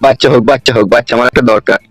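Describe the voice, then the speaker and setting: A man voicing a gruff, lustful, stingy village landowner in a comedy cartoon, crude and grumbling. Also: mid-range voice, fairly even intonation, racing, over loud music, natural voice, rough recording. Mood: wheedling.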